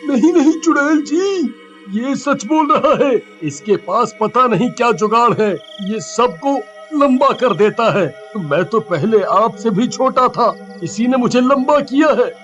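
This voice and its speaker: A nervous village sidekick, acted out by a man in a cartoon tale. The voice sits high and whines with indignation, with faint music behind. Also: expressive, unhurried, a bit bright, lo-fi sound, full character voice. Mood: pleading. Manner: whining, protesting. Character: nervous, indignant village friend being left as hostage.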